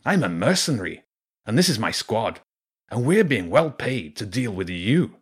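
A man's voice: lowish voice, big pitch swings, normal speed, breathy edge, voice pushed up. A man voicing a tough, bold rat mercenary leader in a storybook, commanding and defiant. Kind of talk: audiobook character voice.

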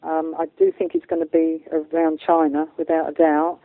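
A woman's voice: low voice, completely flat delivery, moderate pace, no room sound. British woman on a phone-like line. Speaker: guest, spiritual medium and channeller. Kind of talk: radio interview talk.

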